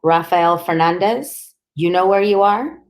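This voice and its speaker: A woman's voice: on the low side, measured pace, close to an everyday voice. A woman voicing a tired, bullying male police interrogator with a blunt, menacing manner.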